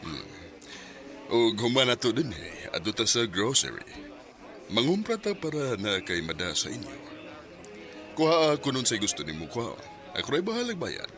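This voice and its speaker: A man's voice: mid-range voice, huge pitch swings, normal speed, pauses often, a bit bright, faint music behind. An actor with a Cebuano Filipino accent playing a casual, generous, well-off man in a radio drama, mixing in English phrases. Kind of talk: Bisaya radio drama acting.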